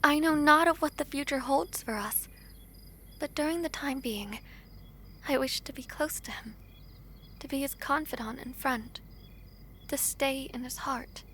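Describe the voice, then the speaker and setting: A woman playing a gentle, earnest young princess in a romantic fantasy audio drama, speaking softly and formally. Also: high voice, slight background noise. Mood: earnest, tender. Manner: soft, formal, measured diction with tender pauses.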